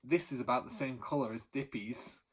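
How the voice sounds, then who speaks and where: A man voicing a hesitant, doubtful boy from a street gang, with a husky voice. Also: medium pitch, normal speed, natural voice. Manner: hesitant, unsure.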